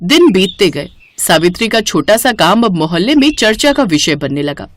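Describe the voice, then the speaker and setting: A woman storyteller narrates a scripted moral tale. Her voice sits at a middle pitch, and the recording is dry and close, with no room around it. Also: very animated, moderate pace, no room sound.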